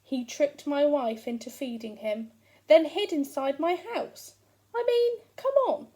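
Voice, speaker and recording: A storybook giant played by a woman with a higher-pitched voice and a very slow, deliberate delivery. He is huge, hungry and sorry for himself, grumbling sarcastically and stressing each grievance. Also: dark tone. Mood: indignant. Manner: complaining, sarcastic, emphatic. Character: grumbling, self-pitying, hungry giant husband, huge and aggrieved. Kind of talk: first-person storybook narration read aloud.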